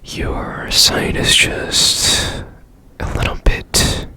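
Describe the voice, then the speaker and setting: A man whispering in a breathy, deliberate way up close to the mic, in an ASMR roleplay as a mysterious repairman. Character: strange secretive man repairing a broken robot. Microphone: close ASMR microphone.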